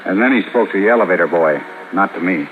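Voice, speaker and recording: A man's voice: deep voice, quick pace, slight background noise, natural voice. An actor playing an anxious, agitated husband who narrates his story in a 1950s radio drama. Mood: subdued narration. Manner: agitated, stammering under pressure. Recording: old radio recording, phone-like, loud music.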